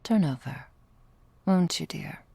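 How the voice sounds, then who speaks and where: A very slow, low-pitched female vampire lover, acted by a woman murmurs in an intimate ASMR roleplay, half whispered and breathy. She is drowsy, possessive and teasingly fond, with languid, courtly phrasing and a creaky edge. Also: lively intonation, darkish tone, no room sound, heavily acted. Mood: tender. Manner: languid, formal archaic wording, teasing. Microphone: close microphone, dry.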